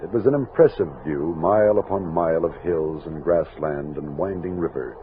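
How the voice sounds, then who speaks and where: An actor playing a steady army captain who narrates and gives firm orders in a 1960s Western radio drama. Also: male voice, sits low. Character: steady commanding army captain leading a frontier expedition. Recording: thin lo-fi old radio recording, hiss.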